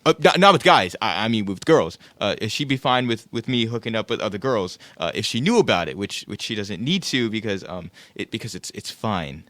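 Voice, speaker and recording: A man's voice: fairly low pitch, very animated, a little fast, hardly any pauses, fairly bright tone, no room sound. A man playing a cocky, clueless young guy in an audio play, with a casual, self-justifying way of talking. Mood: defensive, flustered. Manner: casual, stammering when defensive.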